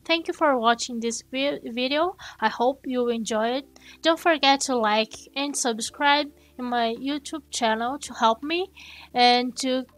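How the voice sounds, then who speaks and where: Slow-talking Brazilian woman gaming. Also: high-pitched, fairly bright tone. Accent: Brazilian Portuguese. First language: Brazilian Portuguese. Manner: slow, halting, thinking aloud while playing. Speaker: gaming channel host. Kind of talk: gameplay commentary.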